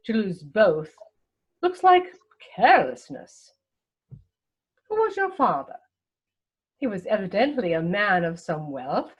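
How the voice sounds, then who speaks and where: A woman playing a haughty, snobbish older aristocratic lady in a comic play reading, imperious and pompous, with deliberate enunciation. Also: on the high side, full character voice, low-quality recording. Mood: haughty, probing.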